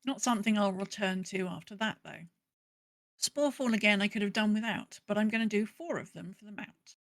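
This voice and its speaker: Female podcast host, middle pitch, informal and conversational, muttering little asides between read-out messages. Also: a little fast. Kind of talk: casual chat and reading out listener messages.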